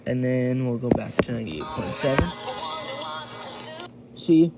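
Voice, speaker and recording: A man giving a casual hands-on product review, thinking aloud in a halting way as he demonstrates. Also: mid-range voice, expressive, loud music behind the voice. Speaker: amateur gadget reviewer. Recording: noisy homemade recording with radio static.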